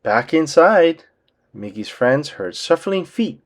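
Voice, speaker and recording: A man reading a children's book aloud as an expressive storyteller. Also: a little high, takes it slowly.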